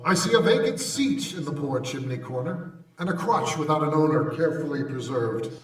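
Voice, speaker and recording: A man's voice: fairly high pitch, lively intonation, moderate pace, close to an everyday voice. A man playing a solemn, foreboding spirit in an old-time radio drama. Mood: grave, prophetic. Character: solemn, foreboding Ghost of Christmas Present, aging spirit. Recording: echo effect, distant, music underneath.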